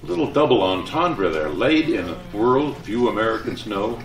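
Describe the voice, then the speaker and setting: A man's voice: on the low side, animated, moderate pace, soft background music. An older man, a retired announcer, giving a live talk of anecdotes and reminiscences to fellow residents. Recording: echoey room, distant mic, faint noise.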